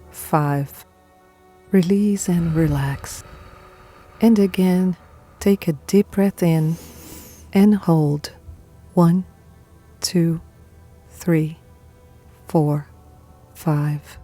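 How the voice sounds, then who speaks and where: Very slow, low-voiced woman guiding meditation. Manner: calm, unhurried, soothing, pausing between short phrases. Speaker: meditation guide. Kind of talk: guided meditation, scripted narration.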